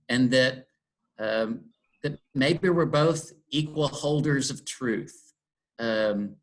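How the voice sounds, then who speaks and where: A middle-aged man from Texas speaks in a thoughtful, unhurried way. He pauses with ums and circles back on his phrases as he works through an idea. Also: mid-range voice, fairly bright tone. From Texas, USA. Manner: thoughtful, many ums and restarts. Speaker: interfaith dialogue guest. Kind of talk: reflective conversation.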